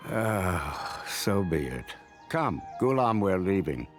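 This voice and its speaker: A man voicing a haughty, evasive scholarly envoy in a video game, speaking in a stiff, deliberate way. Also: deep voice, sing-song, exaggerated voice. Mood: curt, displeased. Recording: background music under the voice.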